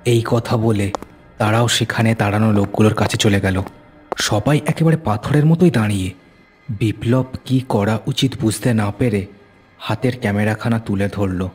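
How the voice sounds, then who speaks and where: A man narrating a horror story dramatically, with suspenseful, deliberate phrasing. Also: fairly low pitch. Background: music.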